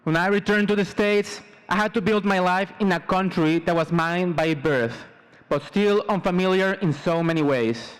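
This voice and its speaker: Higher-voiced young man giving a speech. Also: normal speed, clean tone, poor audio. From Mexico. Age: 20s. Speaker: student salutatorian. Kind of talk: formal prepared commencement speech.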